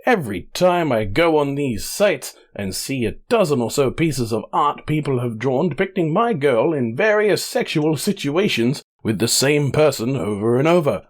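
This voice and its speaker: Dramatic letter reading: earnest, proud, lovestruck male fiancé, acted by a man, emphatic and very animated in pitch. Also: moderate pace, few pauses. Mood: aggrieved, indignant.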